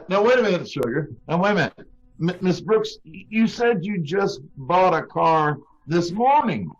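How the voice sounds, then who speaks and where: Man playing exasperated high-voiced salesman, dull recording. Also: expressive, moderate pace, soft background music. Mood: protesting. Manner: indignant, spluttering. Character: exasperated vacuum cleaner salesman, indignant businessman. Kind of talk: old-time radio comedy acting. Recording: dull lo-fi video call audio.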